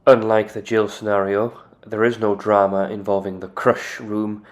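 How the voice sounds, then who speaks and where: Male narrator, game analysis. Slightly low voice, scripted, matter-of-fact explainer delivery. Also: little pitch movement, normal speed.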